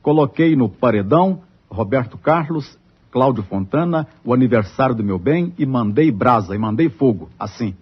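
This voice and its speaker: A man's voice: medium pitch, lively intonation, moderate pace, slight background noise. A man with a Brazilian accent delivering a blunt, scathing record review as a radio critic. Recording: muffled archive radio, faint music.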